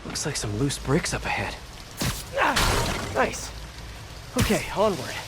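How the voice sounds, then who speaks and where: A man's voice: on the high side, huge pitch swings, takes it slowly, very noisy, heavily acted. An actor playing a young, good-hearted American superhero in a video game, earnest and quippy as he talks. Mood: curious, quippy. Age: young adult. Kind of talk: video game voice acting, talking to himself. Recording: loud music behind the voice. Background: game music.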